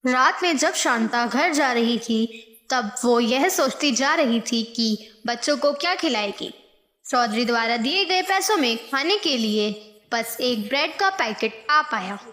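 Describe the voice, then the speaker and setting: A woman's voice: fairly high pitch, expressive, measured pace, a bit bright. A woman narrating a devotional moral story from a script. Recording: echoey, roomy voice.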